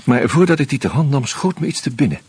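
A man narrating a radio drama as a weary man investigating a murder, in a measured, grim, low-key way. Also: big pitch swings, darkish tone, husky, no room sound. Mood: tense. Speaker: first-person narrator and detective figure.

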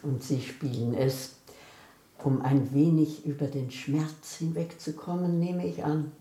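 An actress playing a cool, knowing accuser in a German radio drama, measured and sardonic. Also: female voice, deep voice, steady tone, mellow, reverberant. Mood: dry irony. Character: cool, knowing, ironic accuser named Eisenberg.

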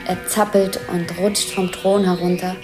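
An actress playing an observer who precisely and plainly describes scenes one by one in a radio drama. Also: female voice, lowish voice, little pitch movement, unhurried, over music, close to an everyday voice. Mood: matter-of-fact. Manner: matter-of-fact, precise.

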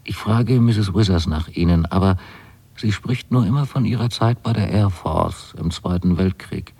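Dark husky young guy, wary lodger, hesitant confiding monologue in a radio play. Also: deep voice, normal speed. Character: uneasy, watchful young son lodging among strangers. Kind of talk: intimate radio drama monologue, spoken as a letter.

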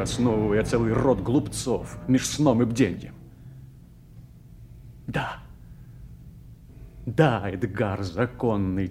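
A man's voice: mid-range voice, darkish tone, natural voice. A man playing a scheming, defiant young nobleman in a brooding, emphatic theatrical soliloquy. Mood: scheming, exultant. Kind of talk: theatrical soliloquy, classical radio drama.

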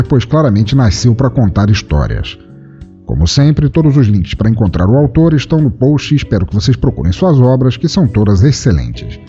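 A man hosting a podcast and narrating a story in a measured, dramatic storytelling manner. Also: mid-range voice, warm tone, dull audio.

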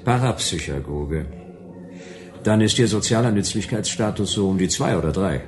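Low-voiced, deadpan private detective. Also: male voice, moderate pace, soft background music. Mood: deadpan, probing. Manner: deadpan, terse, sarcastic. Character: broke, flippant, dry male private detective. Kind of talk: German radio play dialogue.

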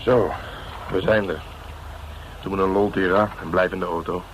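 An actor playing a cocky, hard-boiled police inspector in a radio drama, bossy and colloquial, with a self-satisfied way of talking. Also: male voice, sits low, fairly even intonation, normal speed, a little hiss or hum, close to an everyday voice, poor audio. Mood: cocky, relaxed. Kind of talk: Dutch radio drama acting.

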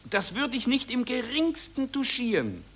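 An actor playing a callous, vain press photographer in a rhymed 1940s German radio satire, theatrical and glib. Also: male voice, very high-pitched, wildly swooping pitch, a little slow, a bit bright, full character voice. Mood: glib, self-important. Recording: noisy old 1940s radio recording.

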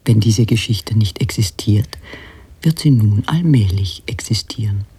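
An actor playing a musing author, an inner narrating voice, hushed and often half-whispered, in a literary radio play. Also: male voice, fairly low pitch, animated, unhurried, husky, dry recording, full character voice. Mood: hushed, intense.

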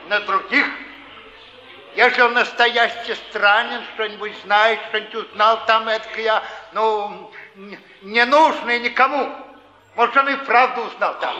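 High-pitched, preachy flophouse keeper. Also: male voice, sharp tone, a little hiss or hum, full character voice. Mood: sanctimonious. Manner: drawn-out, sanctimonious, needling delivery. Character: smug, preachy, bullying male flophouse keeper, older. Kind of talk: theatrical stage acting. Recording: dull archival stage recording, long echoing hall.

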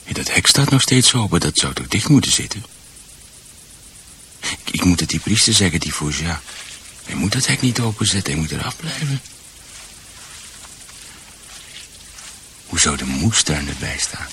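An actor playing a confused, gentle husband who is shut away, speaking haltingly and repeating himself in a radio drama. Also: male voice, low voice, sing-song, slight background noise, exaggerated voice. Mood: muttering, bewildered. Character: confused, gentle confined husband fretting about his garden.

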